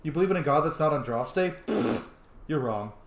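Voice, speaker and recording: A man's voice: fairly high pitch, expressive, moderate pace, a little hiss or hum. Casual male gamer in an echoey room. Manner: informal, swearing, explanatory asides. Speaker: gamer commentating a playthrough. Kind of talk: casual game commentary.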